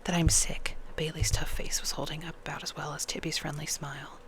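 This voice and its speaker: A reader whispering a novel aloud in ASMR style, soft-spoken and given to chatty asides. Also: medium pitch, level tone, fast talker.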